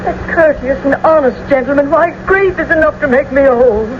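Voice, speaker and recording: An actress playing a fussy, doting, grieving older nursemaid in a theatrical radio drama on an old broadcast recording. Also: female voice, sits high, a little fast, highs cut off, noisy background, lightly acted. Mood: grieving, soothing.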